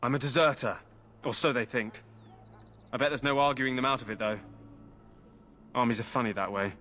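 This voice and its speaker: An actor playing a cheeky, scheming soldier jailed for desertion, wheedling and sly, in a video game. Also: male voice, mid-range voice, steady tone, moderate pace, sharp tone, close to an everyday voice. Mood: wry pleading.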